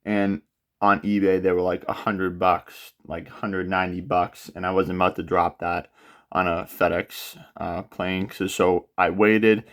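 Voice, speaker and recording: A young man hosting a casual unboxing of model aircraft, sounding a bit nasal from a cold and talking in a relaxed way with frequent filler pauses. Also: deep voice. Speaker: aviation model channel host. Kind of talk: casual product unboxing walkthrough.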